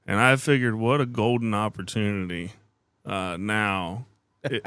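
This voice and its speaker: A man's voice: lowish voice, steady tone, a little slow, a bit bright, clear, resonant tone, no room sound. A man hosting a podcast in a casual, conversational way, encouraging and complimentary toward his guests. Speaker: podcast host.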